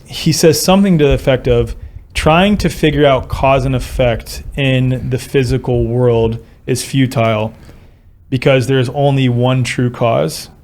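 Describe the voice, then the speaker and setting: Male podcast host, medium pitch, reflective. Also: fairly even intonation, measured pace, darkish tone. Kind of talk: reflective conversational interviewing.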